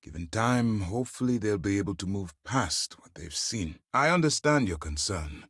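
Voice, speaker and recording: Stern middle aged space navy commander for a video game, formal n authoritative, pitch moves around a lot, super dry recording. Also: male voice, animated, normal speed, a bit bright, slightly rough, dry recording. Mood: measured, formal. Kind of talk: dramatic video game dialogue.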